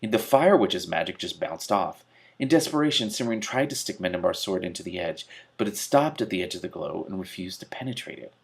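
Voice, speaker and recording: Guy reading a book out loud, kinda low and husky, dramatic delivery. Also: lively intonation, brisk. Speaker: reader of an online read-aloud series. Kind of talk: expressive audiobook-style reading with commentary.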